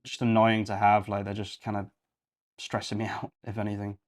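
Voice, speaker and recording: Low-voiced man streaming casually. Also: monotone, a little fast. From Yorkshire, England. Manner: rambling, thinking aloud while clicking around. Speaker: livestream coding host. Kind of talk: casual livestream chat.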